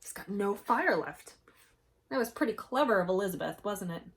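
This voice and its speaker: Animated, husky-voiced woman reading to children. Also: medium pitch, moderate pace. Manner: expressive, emphatic, addresses children with questions. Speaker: children's storyteller. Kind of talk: animated storybook read-aloud with commentary to young children.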